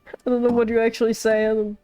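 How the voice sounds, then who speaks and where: High-pitched woman streaming a game. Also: little pitch movement, fast, warm tone, soft background music. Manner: playful, echoes character lines. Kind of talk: casual playthrough commentary.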